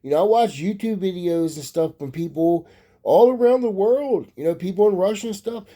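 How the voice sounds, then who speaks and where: A man's voice: high voice, normal speed, warm tone. Profane, rambling American man. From Maryland, USA. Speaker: vlogger. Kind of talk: casual rambling monologue.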